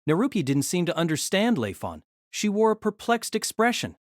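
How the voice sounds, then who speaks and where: Guy reading a novel out loud, animated, voice on the higher side, dry close mic. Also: moderate pace, no room sound. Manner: expressive storytelling with shifting tone. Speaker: narrator.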